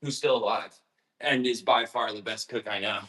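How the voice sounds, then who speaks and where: A man's voice: on the low side, moderate pace, sharp tone, low-quality recording. A young man chatting casually as he bakes, rambling and laid-back, with lots of slang. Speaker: home baker. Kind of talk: casual chat while baking.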